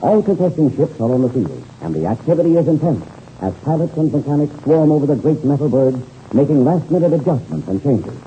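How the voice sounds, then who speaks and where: A man's voice: fairly high pitch, very animated, fairly quick, mellow. A man narrating a 1930s radio adventure serial as announcer in a dramatic, declamatory style. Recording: scratchy, tinny old-time radio recording, noisy.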